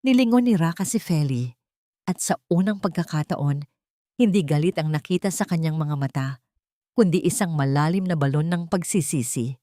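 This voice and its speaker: A woman reads a dramatic story as an audiobook narrator. Her voice sits a little low, and the recording is very dry, with no room sound. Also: a little mellow, no echo.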